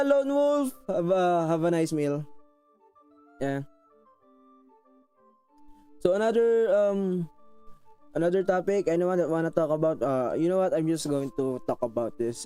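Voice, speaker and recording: A man's voice: fairly high pitch, mellow, clear, resonant tone. A man from the Philippines with a thick Filipino accent, chatting casually with viewers on a live stream, rambling and restarting sentences, apologetic and a little awkward. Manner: rambling, frequent restarts, self-conscious and apologetic; switches into Tagalog phrases. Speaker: VTuber streamer.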